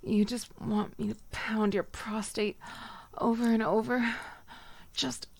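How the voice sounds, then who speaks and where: Whispering woman, slow and husky. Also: a little high, level tone, no echo. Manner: dominant and teasing, soft whispers between moans. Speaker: audio roleplay performer. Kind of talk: whispered intimate audio roleplay.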